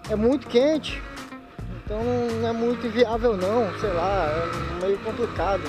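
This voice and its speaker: A man talks in a relaxed, slangy way while he rides a motorcycle outdoors. The sound comes from a helmet-mounted camera mic. Music plays clearly underneath his voice. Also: high-pitched, normal speed. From Manaus, Brazil. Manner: chatty, laid-back slang, frequent laughs. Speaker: motovlogger. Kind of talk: casual motorcycle vlog commentary. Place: riding a motorcycle on city streets outdoors.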